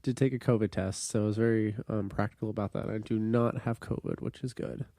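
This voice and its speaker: A man's voice: fairly low pitch, fairly quick, vocal fry, no echo, studio quality. A young man with a stuffy, nasal voice from a cold, co-hosting a casual sports podcast with many ums. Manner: relaxed, with frequent ums. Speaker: podcast co-host.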